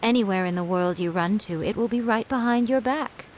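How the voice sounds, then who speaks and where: A woman voicing a sly fox-spirit shrine priestess in a video game, with a silky, mocking, unhurried delivery. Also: mid-range voice, slight background noise, natural voice. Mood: cold.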